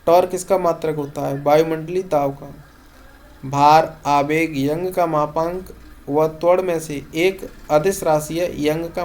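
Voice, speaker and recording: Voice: mid-range voice, a little mellow. A teacher reads out revision questions and gives each short answer in an instructional way. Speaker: exam-prep science teacher. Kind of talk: question-and-answer revision lesson read from notes.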